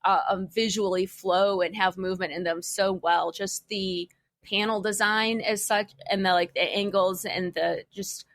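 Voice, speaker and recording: A woman's voice: mid-range voice, steady tone, unhurried, a bit bright, no echo. Woman in casual podcast chat, dry sound. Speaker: podcast co-host. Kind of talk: casual chat about comic books.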